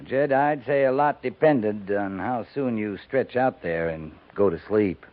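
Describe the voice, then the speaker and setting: A man's voice: fairly low pitch, close to an everyday voice. Man as terse cavalry captain on tinny old radio. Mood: firm, soothing. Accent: American. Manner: terse, measured, dry. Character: steady, gruffly kind cavalry captain, weary and blunt. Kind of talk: 1950s radio western acting. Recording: narrow, tinny 1950s radio recording.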